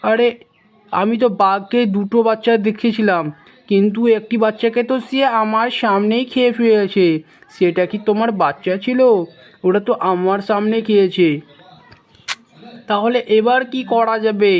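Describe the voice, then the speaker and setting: A man's voice: sits high, fairly even intonation, moderate pace, voice pushed up, natural voice. A man voicing a concerned goat friend who gives advice in a children's animal cartoon.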